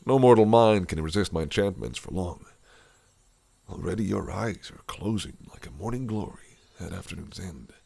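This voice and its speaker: A man's voice: sits low, animated, moderate pace, creaky, dark tone, breathy edge, exaggerated voice. A man voicing a possessive, cunning fae prince in an audio roleplay, speaking in a silky, teasing, menacing way. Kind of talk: listener-directed audio roleplay.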